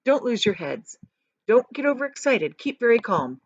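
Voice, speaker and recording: A woman reading a storybook, voicing an excitable, boastful, eccentric male chocolate factory owner with emphatic, exclamatory delivery. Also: a little high, normal speed, no echo, dull audio. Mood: proud, cautioning. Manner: emphatic, exclamatory, repeats phrases for effect.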